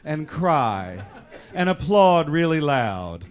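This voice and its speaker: A man's voice: on the high side, sing-song, slow. A man speaking as host and director, introducing a radio drama company live on stage. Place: in front of a live studio audience. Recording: live hall with audience noise and clicks. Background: audience crowd noise.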